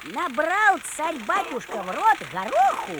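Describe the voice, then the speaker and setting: A woman's voice: very high-pitched, very animated, measured pace, noisy background. A woman telling a comic fairy tale in rhyme, with a theatrical, folksy, sing-song delivery. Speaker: co-narrator. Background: music.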